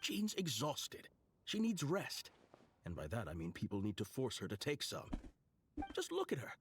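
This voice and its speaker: A man's voice: mid-range voice, wildly swooping pitch, moderate pace, background noise. A man voicing an exasperated, scolding cardinal in a video game, sarcastic and emphatic. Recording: clear game music behind the voice.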